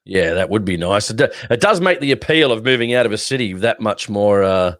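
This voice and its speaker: A man's voice: lowish voice, lively intonation, a little fast, a bit bright, dry recording, studio quality. An Australian man co-hosting a casual live stream. He talks bluntly and sarcastically and swears freely. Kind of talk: casual opinionated chat.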